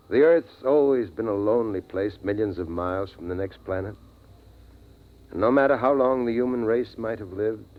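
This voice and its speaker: An actor playing a steadfast, philosophical middle-aged father, speaking in an earnest, measured, dramatic 1950s radio style. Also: on the low side, lightly acted. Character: steadfast, philosophical father, last man guarding his family. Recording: dull vintage radio recording.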